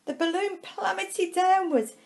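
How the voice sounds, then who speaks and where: High-pitched woman reading a children's storybook slowly. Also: gravelly. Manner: expressive, dramatic pauses and drawn-out words for young listeners. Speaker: primary school staff member reading a storybook. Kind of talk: children's storybook read-aloud.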